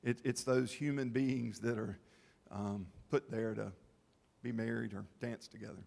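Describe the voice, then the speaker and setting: A man presenting at a town information session, explaining to the public in a halting, plain-spoken way. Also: medium pitch, fairly even intonation, vocal fry, darkish tone. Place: town meeting hall. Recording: long reverb of a meeting hall.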